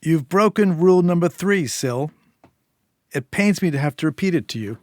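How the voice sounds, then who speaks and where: A man's voice: fairly high pitch, expressive, normal speed, dry recording, voice pushed up, lightly acted. An elegant male crime boss in an audio short story, acted by a man. He is formal and commanding, with cold menace beneath the courtesy, in a dry, close sound. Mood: menacing politeness. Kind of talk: dramatised story reading.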